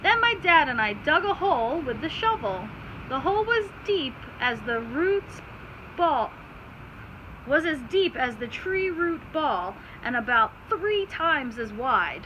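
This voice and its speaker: A woman's voice: sits high, very animated, measured pace, muffled recording. A woman teacher reading aloud to children, stopping to explain simply and put questions to her listeners. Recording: clear background noise.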